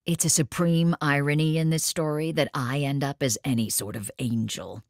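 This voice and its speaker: A woman's voice: deep voice, fairly even intonation, normal speed, no echo. An actress playing a cantankerous, sardonic woman painter in an audio drama, with a dry manner. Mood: sour, deadpan.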